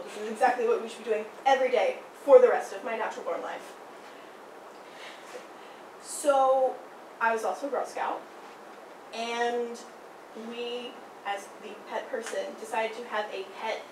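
A young woman telling a personal story in a casual, animated way, acting out the people she quotes. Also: a little high. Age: young adult. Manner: animated, acts out quoted voices. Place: small indoor room by a theatre poster. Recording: roomy, distant, noisy.

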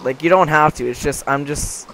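A man's voice: on the high side, normal speed, bright, forceful tone, slight background noise. A man hosting his game channel, talking hesitantly with many fillers and restarts. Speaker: channel host. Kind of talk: casual scripted game-video dialogue.

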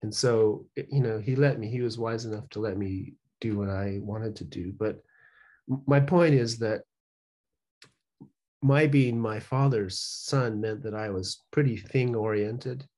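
A man's voice: on the low side, measured pace, warm tone. A man in his sixties, a professor and author, talking thoughtfully on a video call from a home study, rambling with many restarts. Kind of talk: casual reflective conversation.

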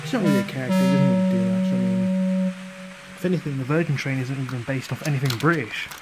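A man's voice: a little high, fast, rough recording. A man narrating a homemade toy-train story in a rambling, offhand, crude comedic way, with music behind. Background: background music.

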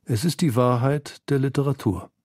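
Male narrator for literary feature. Mellow, mid-pitched, recorded dry. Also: moderate pace, dry recording. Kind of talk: scripted literary documentary narration.

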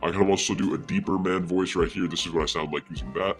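A man voicing a deep-voiced male figure through a pitch-lowering voice changer, matter-of-fact. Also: fairly even intonation, fast talker, faint music behind.

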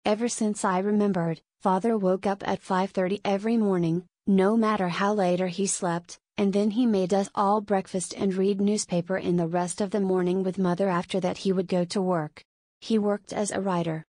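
A woman's voice: medium pitch, fairly even intonation, normal speed, a little mellow, no room sound. Woman reading steadily, dry sound. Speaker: sample answer reader. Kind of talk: exam read-aloud sample answer.

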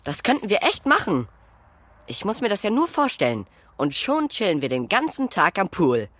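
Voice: medium pitch, huge pitch swings, moderate pace, fairly bright tone, slight background noise. An actor playing a playful boy who tries hard to sound cool in a children's audio drama.

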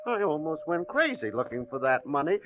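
An actor playing a desperate, greedy small-town killer with excitable, folksy American speech in a 1940s radio drama. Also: male voice, on the high side, a little fast, a little hiss or hum. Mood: agitated, greedy. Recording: muffled old radio recording.

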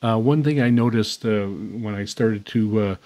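A man giving an unscripted hands-on demonstration as host, speaking haltingly with very frequent uhs. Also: lowish voice, dark tone. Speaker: host demonstrating shortwave antennas. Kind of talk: unscripted product demonstration commentary. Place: indoors at a radio desk.

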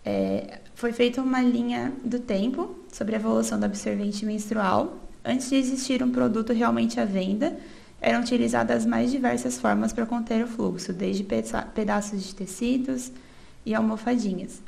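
A woman's voice: on the high side, steady tone, normal speed, creaky, darkish tone. A young woman from Brazil reading a student research presentation over slides, with small restarts and a filler "é" before each new part. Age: young adult. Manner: reads steadily from notes with small restarts and filler "é".

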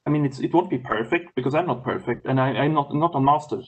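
A man's voice: mid-range voice, muffled recording. A man from Sweden with a Swedish accent, speaking English as his second language, talking as a guest on a video call and often restarting his words. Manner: halting, many restarts and repeated words. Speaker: guest lecturer.

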